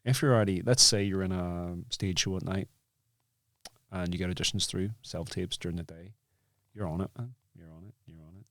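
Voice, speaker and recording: A man's voice: low-pitched, a little fast, a bit bright, dry recording, studio quality. A man hosting a casual interview, talking bluntly and emphatically, repeating himself and checking that the listener agrees. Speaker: podcast host, acting coach. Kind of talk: casual chat.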